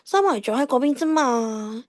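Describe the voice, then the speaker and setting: A woman's voice: high-pitched, normal speed, lightly acted. A woman playing a cheeky, defiant teenage schoolgirl in an audio drama, with a whiny, dramatic delivery. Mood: evasive, whiny. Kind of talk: Cantonese radio drama acting.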